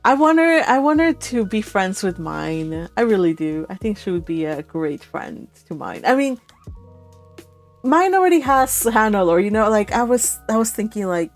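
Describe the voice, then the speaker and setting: A woman's voice: medium pitch, big pitch swings, moderate pace, soft background music. A woman chatting casually and giggly as host of a book club read-along. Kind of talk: casual chat.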